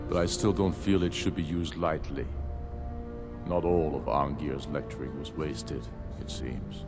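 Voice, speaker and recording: An actor playing a proud, grave, battle-hardened middle-aged rebel leader in a fantasy video game, speaking in a solemn, measured, oratorical way. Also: male voice, extremely low pitch. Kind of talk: scripted video game dialogue. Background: background music.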